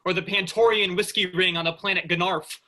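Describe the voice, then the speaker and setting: Brash would-be starship captain, eager and cocky played by a male actor, high pitch, very sharp forceful tone, sci-fi comedy reading. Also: steady tone, brisk. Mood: relaxed, playful. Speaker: actor in a student play reading.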